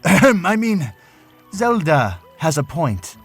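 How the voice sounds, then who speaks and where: A man's voice: on the high side, very animated, slow, a bit bright, husky, faint music behind, heavily acted. A man playing an anxious, courteous fish-folk prince in an audio drama, earnest and strained. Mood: sheepish, light.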